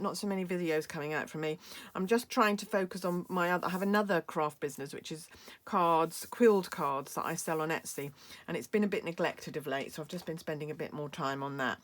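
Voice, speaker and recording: Low-voiced British woman demonstrating a craft project. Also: quick pace. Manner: chatty, explains step by step with fillers. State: losing her voice, coughing. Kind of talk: crafting tutorial.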